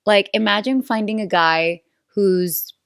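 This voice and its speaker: A woman's voice: mid-range voice, unhurried, creaky, clean tone, no room sound, studio quality. Chatty Venezuelan-accented woman podcast host. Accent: Venezuelan Spanish. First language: Spanish. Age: 30s. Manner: chatty, playful, self-aware, often asks "right?". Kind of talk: casual solo podcast chat.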